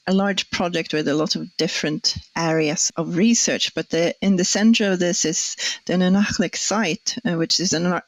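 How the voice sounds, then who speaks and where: Measured Swedish-born woman, dry sound. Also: fairly low pitch, dry recording, poor audio. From Sweden. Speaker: archaeologist, guest. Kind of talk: explanatory conversation.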